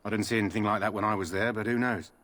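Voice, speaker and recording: A man's voice: on the low side, steady tone, rapid-fire, a bit bright, close to an everyday voice. A man playing a dutiful soldier on guard in a fantasy video game, terse and matter-of-fact. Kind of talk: video game character dialogue.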